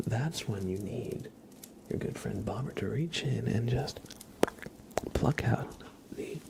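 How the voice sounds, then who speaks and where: A man whispering and speaking softly and breathily as an ASMR livestream host, gentle and halting, with frequent pauses. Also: heavy background noise. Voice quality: breathy whisper mixed with low soft voice. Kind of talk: whispered ASMR, part role play, part casual chat.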